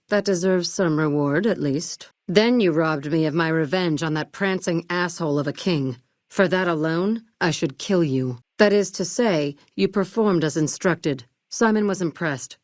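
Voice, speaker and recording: A cool, calculating businesswoman from a video game, acted by a woman, speaks in a low register. Her delivery is measured and curt, with a condescending, businesslike edge. The recording is dry, with no room around the voice. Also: no echo, close to an everyday voice. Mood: cold, threatening. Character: cool, ruthless businesswoman running a family arms business. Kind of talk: video game character dialogue.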